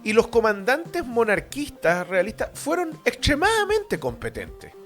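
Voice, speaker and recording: A man's voice: very high voice, huge pitch swings, measured pace, fairly bright tone, soft background music. A man with a Chilean accent hosting a radio history show, lecturing informally in a blunt, opinionated, colloquial way full of rhetorical and tag questions.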